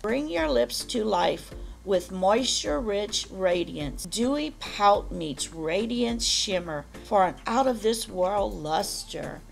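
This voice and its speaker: A woman beauty vlogger talks through product copy at an unhurried pace. Her voice is deep, rough and strained from allergies, with a gravelly rasp. Faint music plays behind her. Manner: slow, careful reading of product copy. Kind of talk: reading product descriptions to camera.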